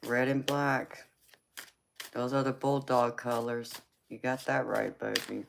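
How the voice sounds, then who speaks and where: A woman's voice: extremely low pitch, steady tone, takes it slowly, vocal fry, noisy background. A woman with a Southern US accent, from California, hosting a casual livestream as a psychic tarot reader and answering viewer comments, laughing often and calling viewers baby.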